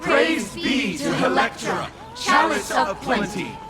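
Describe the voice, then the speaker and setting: A man's voice: extremely high pitch, big pitch swings, takes it slowly, a loud crowd, heavily acted. A man playing a fervent worshipper in a video game, declaiming exalted hymns of praise like a liturgy. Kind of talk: theatrical video game oration. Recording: loud music behind the voice.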